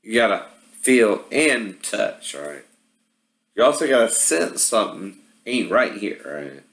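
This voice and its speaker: A man's voice: lowish voice, sing-song, slow pace, a bit bright. A man giving a casual, rambling product walkthrough, often tagging his statements with a questioning "right?". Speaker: vlogger showing product assembly problems. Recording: roomy, echoey home-video sound.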